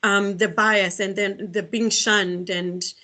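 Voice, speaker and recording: A woman's voice: mid-range voice, level tone, takes it slowly, sharp tone. A middle-aged woman from Kenya with a Kenyan accent, giving an earnest online talk over a video call, often restarting her sentences. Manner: earnest, many restarts and fillers. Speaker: guest speaker.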